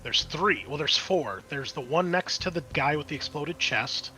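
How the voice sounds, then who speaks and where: A man's voice: a little high, lively intonation, moderate pace, sharp tone, slight background noise, rough recording. A man narrating as game master of a tabletop horror game, in a casual, sweary, descriptive way.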